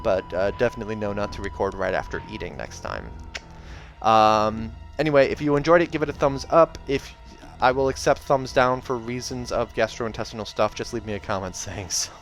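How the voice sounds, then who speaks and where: A man hosting a read-aloud, moving between expressive storybook narration and casual, chatty asides. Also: fairly low pitch, fairly quick. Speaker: reader and channel host. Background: soundtrack music.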